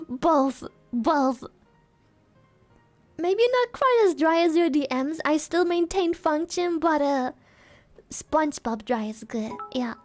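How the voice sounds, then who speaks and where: A woman's voice: high voice, darkish tone. A woman streamer chatting casually with her viewers, giggly and playful, her throat sore and dry. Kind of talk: casual live-stream chat.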